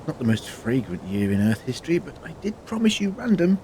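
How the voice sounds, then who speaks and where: An actor playing a learned, eloquent time traveller in an audio drama, with a theatrical, drily humorous manner. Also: male voice, mid-range voice, sing-song, fairly quick, warm tone, slight background noise, lightly acted, low-quality recording. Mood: musing. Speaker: lead actor.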